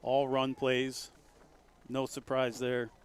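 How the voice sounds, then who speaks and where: A man calling a local high school football game play by play, folksy and rising to loud excitement on big plays. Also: slow, background noise. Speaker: play-by-play commentator.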